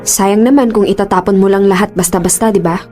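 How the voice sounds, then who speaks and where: A woman's voice: medium pitch, fairly even intonation, quick pace, mellow, close to an everyday voice. An actress playing a composed but caring young female office boss in a Filipino radio drama, speaking earnestly with some English mixed in. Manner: earnest, conversational, mixes English into speech. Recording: music under the voice. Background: background music.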